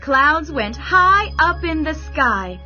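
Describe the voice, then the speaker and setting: Female bedtime-story narrator, bright and deliberate, slightly dull recording over a light music bed. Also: sits high, sing-song. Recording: dull sound, soft music behind.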